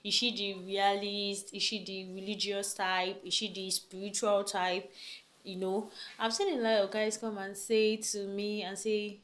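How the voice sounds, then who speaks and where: Brief: young woman, relationship vlogger, mid-pitched, talky and emphatic, leans on "right?". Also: flat delivery, unhurried, fairly bright tone. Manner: chatty, emphatic, many rhetorical questions and "right?" tags. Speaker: vlogger giving relationship advice. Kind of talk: casual talk to camera.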